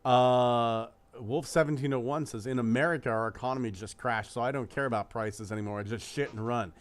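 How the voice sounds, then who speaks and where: A middle-aged man hosting a podcast, grumbling about prices with profane, animated storytelling. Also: mid-range voice, brisk. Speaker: podcast host. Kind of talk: casual podcast chat.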